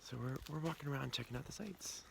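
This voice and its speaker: A man with a cold talking casually to the camera, hoarse and half-whispering at times to spare his voice. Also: mid-range voice, fast, background noise. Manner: chatty, confiding, partly whispered to save the voice. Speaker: vlogger. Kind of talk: casual vlog talk. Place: outdoors in the woods.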